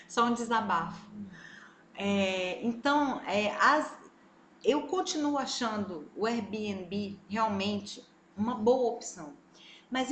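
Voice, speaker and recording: A woman from Brazil with a Brazilian Portuguese accent, a travel vlogger telling her story in a casual, animated way and talking straight to her viewers. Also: a little high, slight background noise. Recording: echoey room, faint ambience.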